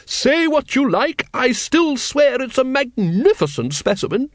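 Man voicing a hearty posh woman, pitched way up. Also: sing-song, measured pace, dry recording, studio quality, heavily acted. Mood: arch, admiring. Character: hearty, arch upper-class woman, dragon enthusiast.

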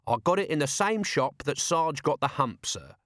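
A man's voice: fairly high pitch, expressive, normal speed, a bit bright, no room sound, studio quality, voice pushed up. A man voicing a guileless, deferential watch corporal in an audiobook, earnest and unrefined. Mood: pleased, earnest.

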